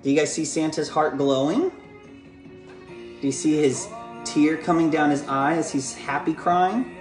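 A man in his thirties with an American accent, reading a bedtime story aloud and chatting casually with viewers, gentle and encouraging as if to a child. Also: on the high side, fairly even intonation, unhurried. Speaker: father and children's book author reading aloud. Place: living room at home, indoors. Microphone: camera or phone propped just beyond arm's length. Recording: echoey living room, music behind.

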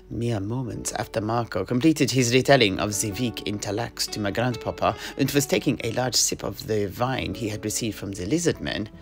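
A man's voice: medium pitch, gravelly, fairly bright tone. Male mercenary knight raconteur played by an actor, fantasy audio play, hesitant conversational delivery, faint music bed. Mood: dramatic recounting. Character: male mercenary knight recounting his grandfather's voyage in a tavern. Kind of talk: in-universe storytelling audio play. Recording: music behind the voice.

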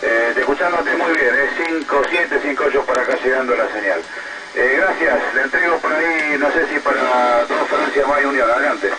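A man's voice: medium pitch, normal speed. A man talking casually with other amateur radio operators, passing turns and reading out call signs. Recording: very noisy satellite radio audio.

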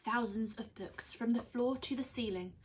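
A young woman reads a rhyming children's storybook aloud in an expressive, teacherly way. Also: fairly high pitch, normal speed, a little hiss or hum. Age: young adult. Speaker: primary school teacher reading aloud. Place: small indoor room on a sofa.

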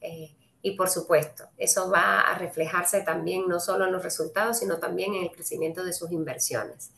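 A woman with a Cuban Spanish accent hosts a live online trading webinar, greeting her viewers warmly and speaking straight to them. Her voice sits fairly low, and she fills her pauses with frequent "eh"s. The sound has a noticeable echo from the room around her computer mic. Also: fairly even intonation, creaky, a little hiss or hum. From Cuba. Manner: friendly, addresses viewers directly, frequent "eh" fillers.

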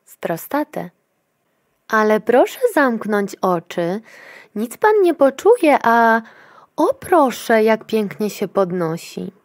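An actress playing a brusque, officious clinic attendant in a dystopian future audio drama, clinical and commanding. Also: female voice, fairly high pitch, sing-song, takes it slowly, dry recording, studio quality, heavily acted. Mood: brisk, teasing.

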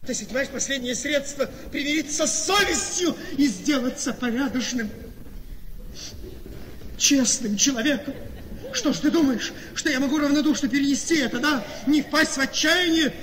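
Self-pitying scheming husband, coaxing, melodramatic, very high male voice, radio drama, long reverb. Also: moderate pace, fairly bright tone. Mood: melodramatic despair. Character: manipulative handsome husband, self-pitying debt-ridden gentleman. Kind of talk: Russian radio play acting, theatrical. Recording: reverberant studio radio play with noise.

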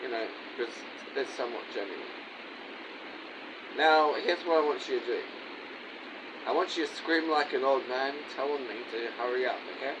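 A man's voice: mid-range voice, normal speed, pauses often. Bossy young man on a webcam. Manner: bossy, giving orders to someone off camera. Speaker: vlogger. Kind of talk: rambling webcam vlog. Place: small dim room indoors. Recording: clear background noise.

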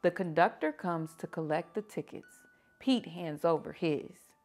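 A woman reading picture books aloud to children like a teacher, with animated, drawn-out emphasis. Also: lowish voice. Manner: animated, drawn-out emphasis, asking listeners questions. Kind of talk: expressive children's story read-aloud.

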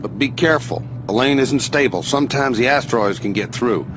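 An actor playing a sly, smug outlaw racer in a video game, goading with mocking theatrical pauses. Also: male voice, medium pitch, close to an everyday voice, poor audio. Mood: confiding. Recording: music behind the dialogue.

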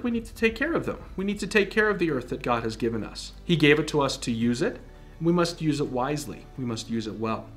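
A young man teaching a scripted Bible lesson to children in a studio, animated and asking the kids questions. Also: mid-range voice. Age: young adult. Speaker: church children's program teacher. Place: studio with green-screen background.

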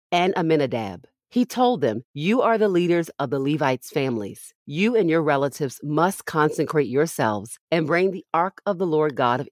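A woman's voice: deep voice, no room sound. Woman reading scripture, very dry close sound. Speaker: podcast host and scripture reader.

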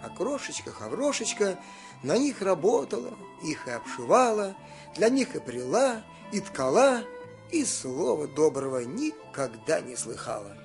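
Male storyteller, high voice, singsong folk-tale narration, faint music underneath. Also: sing-song, measured pace, creaky. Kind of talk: scripted fairy-tale narration.

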